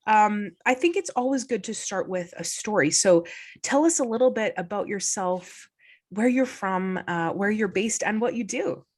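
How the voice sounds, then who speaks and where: A woman's voice: medium pitch, expressive, normal speed, darkish tone. A woman hosting a casual interview, upbeat and encouraging toward her guest. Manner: upbeat, encouraging, with frequent "hmm" and "brilliant". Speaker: podcast host.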